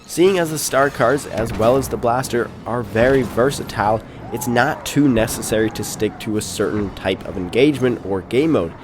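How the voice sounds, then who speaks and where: A man's voice: medium pitch, moderate pace, hardly any pauses, background noise. A man with a stuffed-up, congested voice gives polite, scripted commentary over game footage as a channel host. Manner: polite, formal phrasing.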